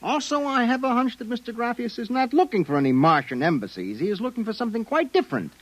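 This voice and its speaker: An actor playing a wisecracking, hard-boiled private detective in a 1950s radio drama, with sardonic tough-guy talk and a husky voice. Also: very high-pitched, very animated, quick pace, no room sound, full character voice. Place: radio studio.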